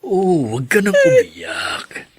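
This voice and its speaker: A male actor playing a lecherous rich old bar patron in a radio drama, with leering, chuckling, drawn-out speech. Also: high-pitched, wildly swooping pitch, exaggerated voice. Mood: lecherous, gloating. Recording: noisy crowd behind the voice.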